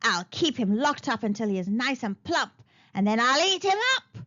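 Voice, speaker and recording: A wicked old storybook witch, acted out by a woman, croaks and cackles in a high voice. She draws her words out slyly and swings her pitch around, pretending kindness while she schemes. The recording is slightly dull. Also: big pitch swings, moderate pace, bright, forceful tone. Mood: impatient, menacing.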